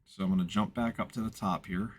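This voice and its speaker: A man with a fairly low voice walks through a screen tutorial step by step. He explains things informally and without a script, keeping a matter-of-fact tone as he instructs. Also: fairly even intonation, quick pace, husky. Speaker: instructor, software tutorial presenter.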